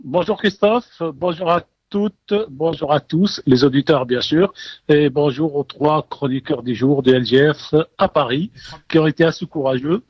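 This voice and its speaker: A man's voice: on the high side, moderate pace, slightly rough, no echo, lo-fi sound. A man with a Kabyle Algerian accent, reporting live as a radio correspondent from abroad in a rambling, repetitive way. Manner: digressive, repeats words, coughs.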